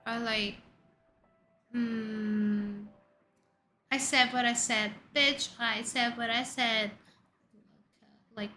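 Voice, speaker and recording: A woman from India with an Indian accent, a VTuber in her thirties chatting casually with her viewers and firing off questions and loud exclamations. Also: on the high side, steady tone, very slow, pauses often. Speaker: VTuber streamer. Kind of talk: casual chat reading viewer messages. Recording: faint background music under voice.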